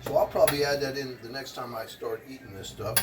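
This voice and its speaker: A man's voice: medium pitch, fast, distant mic, crowd noise. Chatty older man in his kitchen. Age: 60s. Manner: rambling, chatty, animated asides to the viewer. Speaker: vlogger and motivational speaker. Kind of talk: casual chat while cooking in a kitchen. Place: home kitchen.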